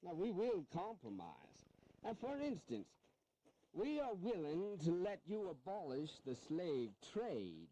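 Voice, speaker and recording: Genteel, scheming statesman, folksy and very expressive in pitch, historical drama, some noise behind. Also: slow, heavily acted, poor audio. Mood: coaxing. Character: genteel, wily Southern statesman defending slavery, male. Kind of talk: historical audio drama acting.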